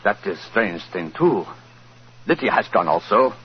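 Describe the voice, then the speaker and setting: Deep, dramatically swooping Romany camp man. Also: big pitch swings, unhurried. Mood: puzzled. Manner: formal, stilted phrasing without contractions. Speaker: radio actor. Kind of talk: 1940s radio acting. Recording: muffled, noisy 1940s radio recording.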